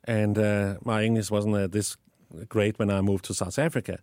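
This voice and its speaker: A man's voice: lowish voice, flat delivery, moderate pace, a little mellow, dry recording. A man from Denmark with a Danish accent, answering interview questions about teaching, often repeating words as he thinks. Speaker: guest, STEM educator.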